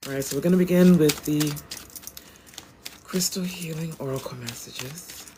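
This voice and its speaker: A woman with a raspy, deeper-than-usual voice, chatting loosely through an oracle card reading on a phone livestream. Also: normal speed, noisy background. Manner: loose, rambling, with many ums and asides. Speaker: tarot and oracle reader.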